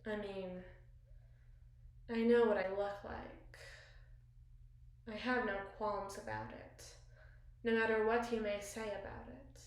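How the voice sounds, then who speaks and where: A woman playing a battle-scarred, commanding evil queen in an intimate ASMR roleplay, speaking formally and wistfully with deliberate, theatrical pauses. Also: medium pitch, expressive. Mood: proud, reflective. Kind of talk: ASMR roleplay, slow dramatic monologue. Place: small bathroom, indoors. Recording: echoey tiled bathroom.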